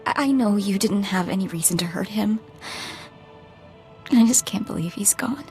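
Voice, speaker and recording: A woman's voice: mid-range voice, normal speed, a little mellow, soft background music, close to an everyday voice. A woman voicing a determined, grieving bounty hunter's handler in a video game, earnest and urgent in manner. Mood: shaken, grieving.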